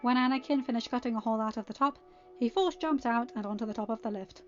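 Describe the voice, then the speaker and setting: High-pitched woman narrating a story. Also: fast. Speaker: amateur fan fiction reader. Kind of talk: expressive scripted story narration. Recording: dull, band-limited audio with background music. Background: quiet music.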